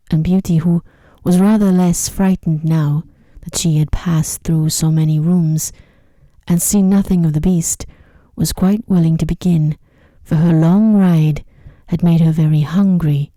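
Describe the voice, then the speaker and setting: Woman, ASMR sleep-story narrator, low pitch, extremely mellow, hushed, careful, close microphone. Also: steady tone, measured pace, no room sound. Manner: gentle, hushed, careful enunciation. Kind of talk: soft-spoken ASMR bedtime story narration. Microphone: close microphone in a dry space.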